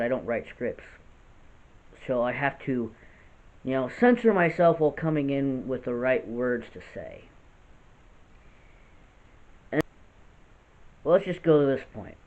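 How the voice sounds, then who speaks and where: Gravelly young man, tinny phone-like sound. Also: medium pitch, a little mellow. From United States. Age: 20s. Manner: earnest, rambling with restarts. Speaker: vlogger. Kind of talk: unscripted serious vlog. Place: house with poor lighting.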